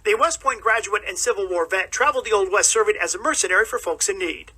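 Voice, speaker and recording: Bright, high-voiced, fast-talking male radio host. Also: breathy edge. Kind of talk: scripted broadcast introduction.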